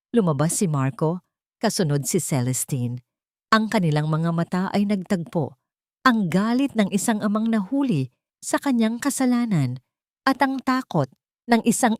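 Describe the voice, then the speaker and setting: A woman's voice: lowish voice, darkish tone, no room sound, studio quality. A woman narrating a scripted drama story in a dramatic audiobook style.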